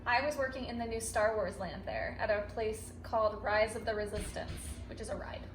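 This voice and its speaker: A woman's voice: mid-range voice, brisk. A young adult American woman chatting casually, with a chatty, explanatory way of talking. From United States. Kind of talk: casual chat. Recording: echoey room, distant mic, noticeable noise.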